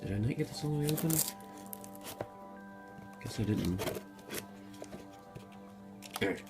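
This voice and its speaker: A young man's lowish voice, a bit rough from being sick with a sore throat. He talks casually to the camera while unboxing at a table indoors, swearing and grumbling. Music plays clearly underneath. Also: animated, measured pace. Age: young adult. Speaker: vlogger.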